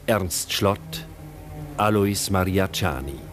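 A man reading the opening credits of a radio play, slow and solemn. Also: low voice, music in the background. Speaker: radio announcer.

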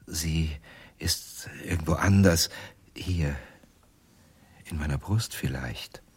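An actor playing a tormented, helpless ventriloquist in a radio crime drama, speaking in a halting, confessional way. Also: male voice, a bass voice, breathy edge, heavily acted. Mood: helpless, agitated. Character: tormented male ventriloquist, helpless under his doll's power.

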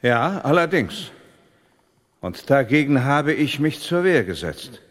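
An actor playing a proud, courteous prince, formal and easily provoked, with a husky voice in a German radio drama. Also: male voice, medium pitch, very animated, slow. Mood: formal, composed. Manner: formal, dignified, indignant when crossed.

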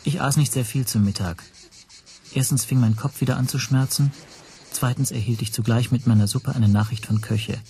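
A man's voice: mid-range voice, normal speed, mellow, a little hiss or hum, close to an everyday voice. An actor playing a troubled Hungarian language teacher, narrating his story with restraint in a radio play. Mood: troubled, reflective.

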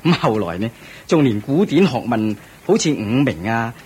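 A proud, doting king of ancient times acted by a man for a Cantonese radio drama. His voice is very husky and moves widely in pitch. He speaks with regal, theatrical weight and a Hong Kong accent. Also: very animated, moderate pace, a little mellow. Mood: reflective, fond.

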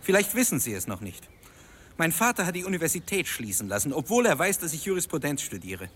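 A man's voice: on the high side, sing-song, fast, fairly bright tone, a little hiss or hum. An actor playing an agitated young law student who resents his father, speaking emphatically in a German radio drama. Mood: agitated, admiring. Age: young adult.

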